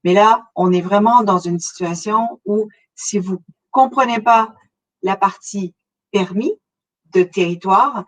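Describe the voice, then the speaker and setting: A woman's voice: mid-range voice, slow pace, poor audio. Québécoise woman presenting a webinar. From Montreal, Canada. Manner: conversational, addresses listeners directly, frequent hesitation fillers. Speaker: webinar presenter, relocation consultant.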